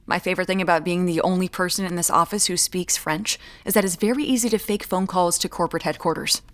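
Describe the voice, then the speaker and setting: An actress playing a brisk, guarded woman amateur detective in an audio drama, deadpan and curt. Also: fairly low pitch, close to an everyday voice. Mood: dry, matter-of-fact.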